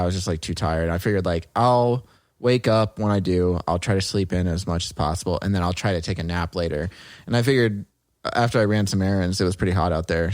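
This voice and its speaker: Laid-back man with a lowish voice, chatting. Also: darkish tone, no room sound, studio quality. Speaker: podcast co-host. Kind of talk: casual chat on a podcast stream.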